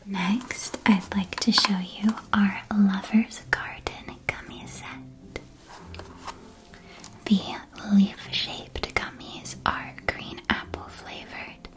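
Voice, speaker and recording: Whispered ASMR, woman acting as a friendly female magic candy seller, breathy, soft, slow gentle sales patter. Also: medium pitch, natural voice. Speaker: ASMR roleplay performer.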